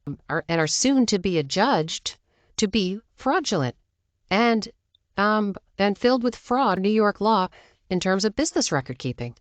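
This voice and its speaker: A woman's voice: mid-range voice, big pitch swings, normal speed, dry recording. An American woman giving opinionated news commentary in an emphatic, argumentative manner, often posing rhetorical questions. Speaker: political commentator.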